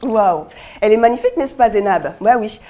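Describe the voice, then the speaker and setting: A woman's voice: mid-range voice, lively intonation, fairly quick, heavy background noise. A woman hosting a music TV show, asking questions with admiring enthusiasm and stammered restarts. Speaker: TV show host. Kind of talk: music TV interview and presenting. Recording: music playing under the voice.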